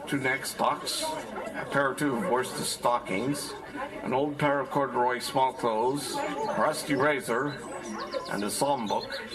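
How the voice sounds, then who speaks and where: An actor playing an anxious, fussy farmer in a theatrical audio drama, worried and given to hesitant exclamations. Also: male voice, medium pitch, close to an everyday voice. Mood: somber. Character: anxious, fussy Dutch-American farmer, grieving over his lost saddle. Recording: music under the voice.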